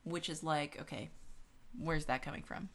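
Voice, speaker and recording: Low-voiced woman, casual podcast co-host. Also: steady tone, normal speed, vocal fry, a bit bright. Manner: inquisitive, reasoning aloud, checks for agreement. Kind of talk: casual science-minded discussion.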